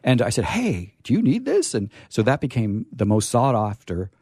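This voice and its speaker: A man's voice: mid-range voice, huge pitch swings, moderate pace, darkish tone, breathy edge. A man hosting a radio show with chatty, rambling talk and a playfully theatrical manner.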